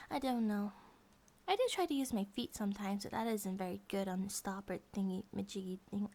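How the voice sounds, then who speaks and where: A woman acts out a grizzly bear monster-girl wife in an intimate ASMR roleplay. Her voice is fairly high and cutesy. She rambles softly to her partner, childlike, affectionate and a little possessive, at times slipping toward a whisper. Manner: cutesy, rambling, giggly, sometimes dropping to a whisper.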